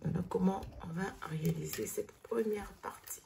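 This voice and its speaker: A woman with a cold, hoarse, slowly explaining knitting stitches step by step in a tutorial. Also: lowish voice, mellow, slight background noise. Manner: slow, step-by-step instructions.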